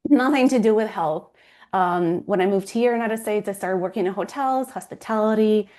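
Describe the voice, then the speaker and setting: A woman's voice: medium pitch, a little fast, a little mellow. Woman, 30s, Romanian accent, non-native speaker; sincere, reaches for words and restarts. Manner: earnest, searching for words, frequent restarts. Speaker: guest, fertility coach. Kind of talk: casual interview talk.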